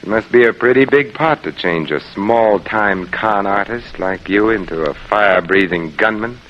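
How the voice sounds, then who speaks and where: An actor playing a suave, sardonic gentleman adventurer in a 1940s radio drama, with dry, unhurried wit. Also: lowish voice, natural voice. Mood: cool, sardonic. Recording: dull, noisy old radio recording.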